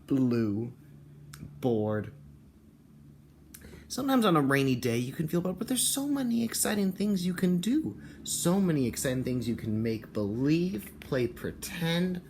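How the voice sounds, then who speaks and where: A man speaking as a kindergarten teacher, reading and explaining to young children in an expressive, encouraging way with simple phrasing. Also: mid-range voice, takes it slowly, a little hiss or hum. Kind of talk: picture book read-aloud and talk to children and parents.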